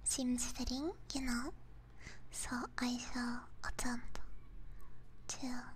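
A woman whispering softly and breathily, chatting casually and gently to stream viewers into a close binaural microphone. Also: a little high, little pitch movement, slow, rough recording. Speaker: ASMR streamer, host. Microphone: binaural ASMR microphone up close. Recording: faint background noise.

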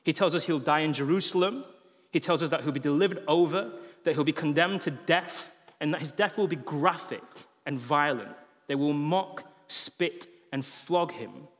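A man's voice: a little high, moderate pace. A man in his thirties, a pastor, preaching a sermon in a conversational, humorous way on stage in a large church hall, through a headset mic. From England. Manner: conversational and humorous, with animated gestures. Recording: long hall reverb.

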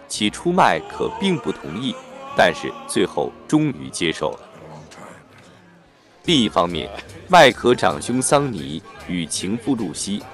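A man's voice: lowish voice, a bit bright. A man reading a scripted plot summary as a narrator. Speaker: film recap narrator.

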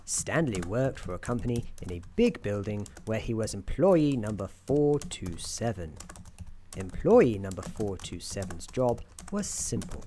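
Soft, mellow storyteller with a lively pitch. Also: unhurried. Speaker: narrator. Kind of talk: soft-spoken ASMR storytelling narration. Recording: keyboard clicks and noise behind. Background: computer keyboard typing.

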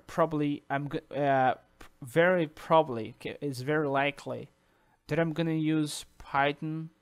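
A man whose first language is Portuguese, talking through a coding tutorial over a screen recording, halting with restarts and checking in with "okay?". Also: mid-range voice, smooth. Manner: halting, many restarts and "uh", tags sentences with "okay?". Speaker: programming tutor.

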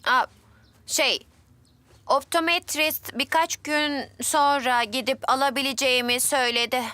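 Woman doing curious polite little boy for a kids show dub, high bright voice, cheerful. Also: fairly even intonation, unhurried, no echo. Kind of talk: Turkish dub of a children's show.

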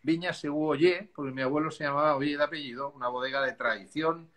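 Spanish-accented male winemaker, 50s, bright and a touch high, easygoing storyteller on a video call. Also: steady tone, fast talker. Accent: Spanish, softened by years in Chile. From Spain. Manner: chatty, rambling, laughs easily. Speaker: winemaker guest. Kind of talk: casual video call interview. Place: dark room at home. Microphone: video call, laptop camera.

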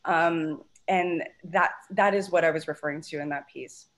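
A woman from Canada speaking as a journalist on a panel over a video call, frank and earnest, slowing down to stress points. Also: deep voice, steady tone. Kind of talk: panel discussion.

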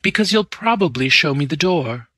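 A man voicing a desperate young gentleman who has lost everything, pleading and anguished. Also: a little high, very slow, gravelly, dry recording, clean studio sound, heavily acted.

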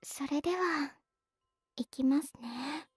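A voice actress playing a gentle, patient woman teaching ear cleaning, whispering softly in a Japanese ASMR roleplay. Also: sits high, slow, dry recording, studio quality. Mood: gentle, instructive. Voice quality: soft breathy whisper.

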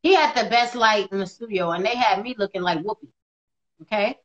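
A woman in her thirties co-hosting a casual live video chat from home, animated and quick to laugh, on a phone or laptop mic. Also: medium pitch, dull audio. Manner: animated, laughs often, bright delivery. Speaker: co-host. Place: indoors at home. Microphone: phone or laptop camera on video call.

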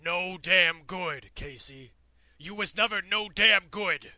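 An actor playing a drunken, abusive, brutish father in a comic dub, with a harsh gravelly voice. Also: high-pitched, slow pace, pushed and bright, full character voice. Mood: drunken rage. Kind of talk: amateur comic dub acting.

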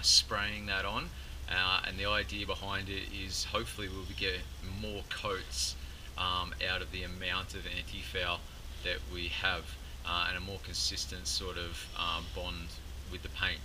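A man's voice: sits low, little pitch movement, a little slow. A man in his thirties hosting a sailing vlog in a casual narrating style, recorded with outdoor noise. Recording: clear outdoor background noise.

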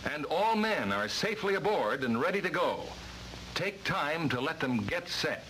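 Guy narrating an old instructional film, crisp formal delivery, bright forceful voice, a bit of worn soundtrack noise. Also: fairly high pitch, very animated, moderate pace, rough recording. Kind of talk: scripted instructional narration, vintage training film.

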